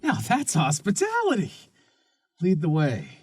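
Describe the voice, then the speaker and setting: Volatile, high-voiced menacing gunman. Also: wildly swooping pitch, unhurried, a little hiss or hum, heavily acted. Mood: bossy, suspicious. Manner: taunting, swinging from growl to shout. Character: menacing, volatile hungry gunman, bullying and sneering. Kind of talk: horror audio drama acting.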